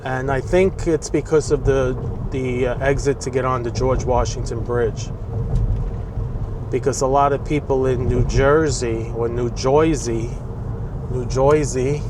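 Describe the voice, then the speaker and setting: A man's voice: mid-range voice, steady tone, a little slow. A man in his sixties with a New York accent, chatting casually from his car in city traffic, opinionated and rambling. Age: 60s. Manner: opinionated, rambling, grumbling asides. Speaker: vlogger. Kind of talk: casual chat while driving. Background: faint traffic noise.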